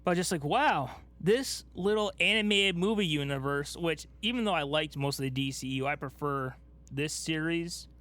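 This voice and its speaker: A man podcast co-host chatting casually about movies, telling things in an animated way and acting out his own reactions. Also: a little high, moderate pace.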